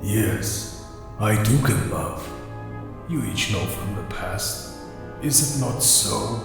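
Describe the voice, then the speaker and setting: An actor playing an ancient vampire count in a radio play, husky and gravelly, with formal, courtly, menacing speech. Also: male voice, lowish voice, expressive, music in the background, heavily acted. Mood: possessive fury. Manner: slow, formal, deliberate.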